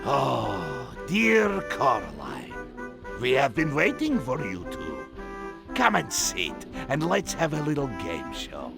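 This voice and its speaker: An actor playing an eccentric, excitable circus master in a video game, with a theatrical Russian-style accent and broken English. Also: male voice, mid-range voice, takes it slowly, creaky, music in the background, exaggerated voice. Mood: theatrical, welcoming. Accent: Eastern European, Russian-style. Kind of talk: animated video game character acting.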